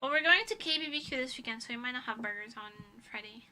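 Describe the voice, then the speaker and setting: A woman from Europe chatting casually on a livestream in an animated, dramatic manner. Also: sits high, fast talker. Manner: animated, dramatic exaggeration, swearing. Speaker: livestreamer, VTuber.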